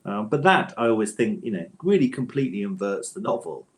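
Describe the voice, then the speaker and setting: A man's voice: on the low side, sing-song, brisk. A man chatting as an author guest in a literary interview, feeling his way through thoughts in a hesitant, digressive manner. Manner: hesitant, digressive, thoughtful phrasing with repeated tag questions. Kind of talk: casual literary interview chat.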